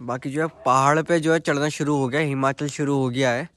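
A man's voice: medium pitch, fairly even intonation, a little fast, a bit bright. A young man chatting casually to viewers during a night road trip, filmed on a phone inside his car. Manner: chatty, addresses viewers as bhai. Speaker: car vlogger.

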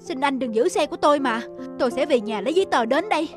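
An anxious middle-aged delivery man from a cartoon , acted by a woman, pitched very high. He stammers and begs apologetically in a northern Vietnamese accent. Music plays clearly underneath. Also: animated, quick pace. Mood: desperate. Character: anxious, pleading middle-aged male pizza delivery driver. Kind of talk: cartoon dubbing.

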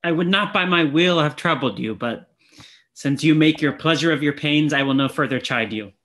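A young man acts out an eager, grateful gentleman traveller in a classic stage comedy. His voice is bright, fairly high and politely earnest. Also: moderate pace, close to an everyday voice. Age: young adult. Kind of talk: Shakespeare reading over video call. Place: indoor room, video call.

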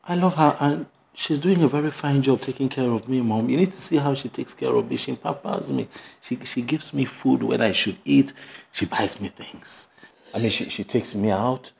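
A man playing a devoted, earnest grown son in a melodrama, speaking in halting, repetitive phrases. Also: mid-range voice, lightly acted. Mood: earnest, pleading. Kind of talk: melodramatic screen acting. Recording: clear background noise, room ambience.

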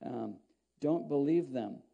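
A middle-aged pastor preaches from a podium mic in a small church, his voice very dark and mellow. He drifts between scripture reading and loose, rambling asides, often stopping and restarting his sentences. His pitch sits in an ordinary male range. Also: lively intonation, slow, vocal fry, highs cut off. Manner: conversational, rambling, with frequent restarts. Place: small church sanctuary, indoors.

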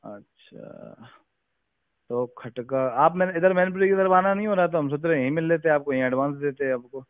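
A man's voice: on the high side, normal speed, smooth, dry recording. A man talking casually on a phone call, deferential and full of questions. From Uttar Pradesh, India. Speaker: village head, caller. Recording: narrow, tinny recorded phone call.

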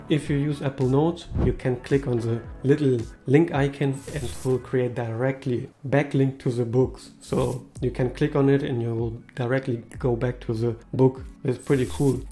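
A man's voice: mid-range voice, warm tone. A man in his thirties whose first language is not English, talking to camera in a small room in a casual, upbeat way with slightly non-native phrasing. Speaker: tech video host.

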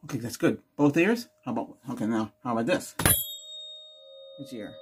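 A man's voice: mid-range voice, lively intonation, moderate pace, very noisy. An older man doing an ASMR doctor roleplay, giving short instructions and asking brief questions as in an exam. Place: small indoor room with cloth backdrop.

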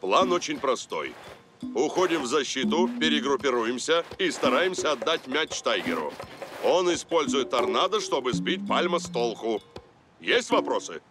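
An actor voicing a calm, stern adult football coach in a dubbed cartoon, giving measured instructions. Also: male voice, medium pitch, music in the background, lightly acted. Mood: instructive. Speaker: team coach. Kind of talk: cartoon dubbing.

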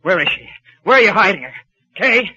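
An actor playing a jealous, hot-headed rival suitor in an old radio comedy, indignant and accusing. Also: male voice, high voice, animated, a little fast, bright, forceful tone, husky, no echo, full character voice. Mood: angry. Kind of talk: 1940s radio comedy acting. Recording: muffled 1940s radio broadcast.

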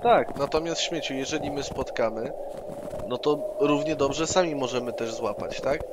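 A man's voice: mid-range voice, normal speed. A man improvising in-character dialogue as a player in an online tabletop role-playing session. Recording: online session with loud background noise.

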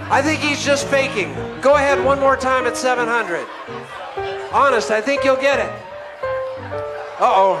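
A middle-aged man working as an auctioneer at a live benefit auction, chanting repeated bids into a handheld microphone in a banquet hall. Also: very high voice. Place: large banquet hall, on stage. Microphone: handheld microphone through a PA.

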